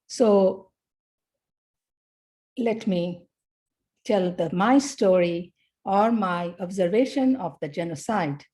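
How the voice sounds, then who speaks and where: This is a middle-aged woman from Bangladesh delivering an academic conference talk. Her delivery is unhurried and measured, broken into short phrases with pauses in between. Her voice sits at an ordinary middle pitch. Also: lively intonation, warm tone. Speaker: academic speaker.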